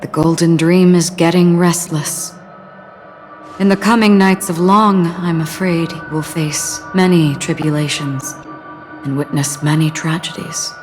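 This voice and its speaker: A woman playing a solemn, enigmatic wanderer in a video game, measured and melancholy in manner. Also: on the low side, fairly even intonation, darkish tone, soft background music, close to an everyday voice. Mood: grave, foreboding.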